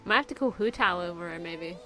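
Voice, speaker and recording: A woman streamer giving playful, loud reactions while playing a video game. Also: lowish voice, animated, brisk, faint music behind. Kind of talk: live gameplay commentary.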